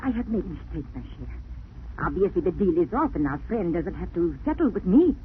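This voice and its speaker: An actress playing an affected, nervous French hat-shop baroness with a genteel theatrical manner and French accent in a 1940s radio play. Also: female voice, on the low side, big pitch swings, moderate pace. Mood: flustered. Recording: tinny, noisy 1940s radio recording.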